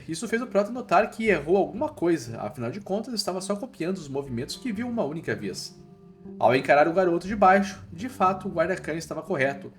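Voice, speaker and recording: A Brazilian man narrates a scripted recap in an informal, slangy way. His voice sits a little high and swings with very animated intonation. Faint music plays underneath the narration. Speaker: narrator of manhwa recap videos.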